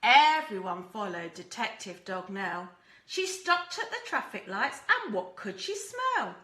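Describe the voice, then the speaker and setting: A woman teacher reading a rhyming storybook aloud to young children in an expressive, deliberate way. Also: mid-range voice, roomy sound. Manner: animated, deliberate, acts out characters and asks listeners questions.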